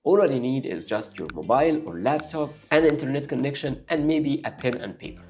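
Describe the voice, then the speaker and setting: A man's voice: mid-range voice, expressive, brisk, a little mellow, soft background music. A young man whose first language is Arabic, giving an upbeat step-by-step English lesson straight to the viewer. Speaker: English learning YouTuber. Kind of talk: direct-to-camera lesson.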